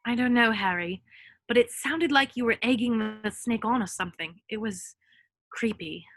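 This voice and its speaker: A woman's voice: on the high side, moderate pace, fairly bright tone, no echo. A woman reading a serious, knowledgeable schoolgirl witch in a script read, explaining things earnestly. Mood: grave concern. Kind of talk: online script table read.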